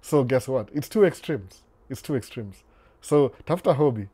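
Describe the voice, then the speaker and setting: A man hosting a casual live stream. He answers viewer questions in a relaxed, unhurried way and moves freely between Swahili and English. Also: medium pitch, breathy edge. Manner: reads viewer comments aloud and responds to them.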